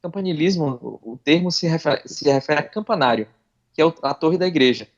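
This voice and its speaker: A man's voice: on the high side, a little fast, dull audio. A man on a podcast panel explaining history in a casual way, correcting himself as he goes.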